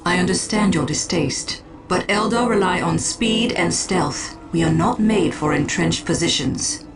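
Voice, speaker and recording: A woman playing a calm, calculating alien farseer in a video game, speaking in a measured, formal and imperious manner. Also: on the low side, over music. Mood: cold, assured. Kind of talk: video game cutscene acting.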